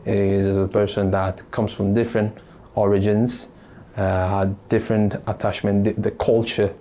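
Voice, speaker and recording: Deep, mellow-voiced man from Italy. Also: level tone, slight background noise. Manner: thoughtful, halting, many restarts and fillers. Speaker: podcast guest. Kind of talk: casual interview storytelling.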